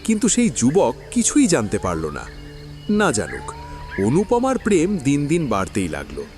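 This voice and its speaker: A man narrating a classic story in an audio drama, with expressive, dramatic storytelling. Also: medium pitch, moderate pace, soft background music.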